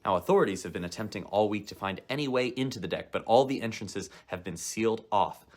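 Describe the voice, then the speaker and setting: A lively space radio reporter. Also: male voice, lowish voice, quick pace. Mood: urgent. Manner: urgent newscaster delivery. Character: upbeat male space-station radio reporter. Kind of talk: live broadcast reporting in radio drama. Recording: music bed underneath.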